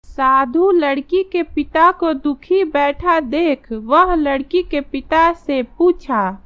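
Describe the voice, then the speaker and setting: A woman's voice: high-pitched, slow pace, muffled recording. A woman narrating a scripted children's story as a storyteller.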